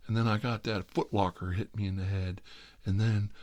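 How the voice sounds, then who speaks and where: A man's voice: on the low side, little pitch movement, moderate pace, a little mellow, no room sound, lightly acted. A man voicing his rambling, boastful old father in a storytelling ASMR recording, grumbling and self-pitying.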